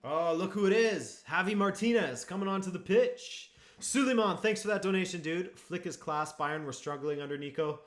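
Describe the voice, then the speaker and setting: A man's voice: sits high, normal speed, hardly any pauses. A man hosting a live football watchalong stream, chatting casually with viewers and reacting to the match. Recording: echoey room.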